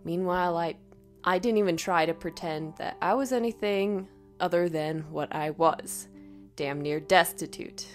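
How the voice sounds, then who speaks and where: A woman narrating a scripted first-person horror story in a dramatic, expressive way. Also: fairly low pitch, measured pace, soft background music.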